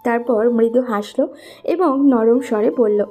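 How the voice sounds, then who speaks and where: A woman's voice: fairly high pitch, unhurried, dark tone. A woman narrating a scripted romantic story.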